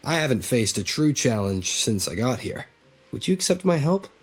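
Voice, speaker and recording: An actor playing an eager, flattering newcomer in a video game, keen and ingratiating, with a husky voice. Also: male voice, medium pitch, normal speed. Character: eager, flattering newcomer man seeking a challenge.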